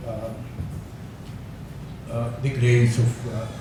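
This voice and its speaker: An older man giving a conference talk, hesitant with many fillers and soft chuckles. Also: medium pitch, level tone, drawn-out words. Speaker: academic speaker. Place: lecture hall. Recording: echoey hall, distant mic, noisy.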